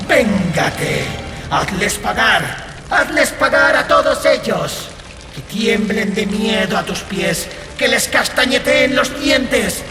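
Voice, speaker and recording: Slow, echoing female fire spirit. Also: mid-range voice, lively intonation. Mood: vengeful, menacing. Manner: slow, drawn-out, menacing and grandiose. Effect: heavy reverb. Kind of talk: audiobook drama acting. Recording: long echoing reverb over music.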